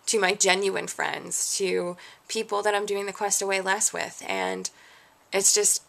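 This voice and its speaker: A woman's voice: mid-range voice, gravelly, fairly bright tone. A young adult woman vlogging a frustrated, rambling rant, questioning herself as she talks. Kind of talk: candid rant to camera.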